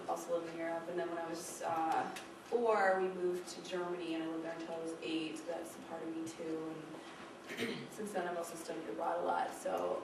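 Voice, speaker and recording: A woman introducing herself to a class, uncertain and musing aloud. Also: on the low side. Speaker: student. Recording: very echoey room from far off, noise.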